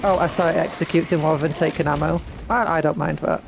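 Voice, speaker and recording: A chatty British woman commentates her game in a playful, excitable way. Game music plays faintly behind her voice. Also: low-pitched, fast, gravelly. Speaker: streamer. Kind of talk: casual gameplay commentary.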